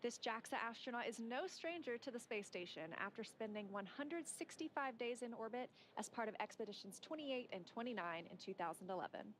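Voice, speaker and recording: A woman's voice: a little high, lively intonation, a little fast, few pauses, a little hiss or hum, low-quality recording. A woman presenting a broadcast segment in an upbeat, polished, scripted way. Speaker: segment host.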